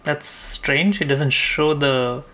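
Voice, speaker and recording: A man's voice: on the high side, takes it slowly, bright, forceful tone. A man giving casual gameplay commentary, rambling as he thinks aloud. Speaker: video game streamer. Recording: faint game noise behind the voice. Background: game ambience.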